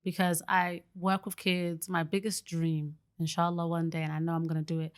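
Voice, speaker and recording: Earnest, chatty woman, lowish voice. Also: flat delivery, moderate pace, smooth. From Britain. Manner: chatty and earnest, many fillers like "like" and "you know"; laughs easily. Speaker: guest, early childhood educator. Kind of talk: casual podcast conversation.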